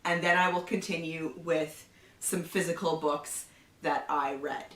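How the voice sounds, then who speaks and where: A woman's voice: sits low, fairly even intonation, measured pace, fairly bright tone. Chatty woman with a cold, echoey room. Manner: chatty, laughs often, clears throat. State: has a cold. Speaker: book reviewer host. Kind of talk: casual book review chat. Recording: roomy echo, somewhat far from the mic.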